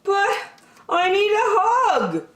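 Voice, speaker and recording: A woman voicing a gentle, earnest young bear cub who longs for a hug, in a childlike, plaintive storybook delivery. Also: extremely high pitch, huge pitch swings, slow, reverberant, pitched above the performer's own voice, heavily acted. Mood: sad, plaintive.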